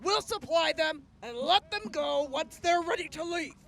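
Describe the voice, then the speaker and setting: A woman acting in an audio drama as a composed, courteous officer, speaking formally and in measured phrases. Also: very high voice, expressive, fairly bright tone, exaggerated voice. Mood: measured, serious.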